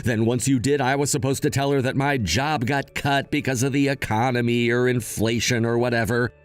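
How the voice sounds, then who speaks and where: A man's voice: medium pitch, fairly even intonation, fairly quick, close to an everyday voice. A man voicing a lazy, scheming younger brother who talks in a sly, self-satisfied and whiny way in a dramatized story.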